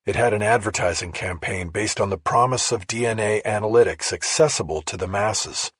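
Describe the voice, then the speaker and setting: Man, audiobook narration, strongly husky, fairly deep, matter-of-fact, dry recording. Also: brisk, fairly bright tone, dry recording. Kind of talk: scripted first-person crime thriller narration.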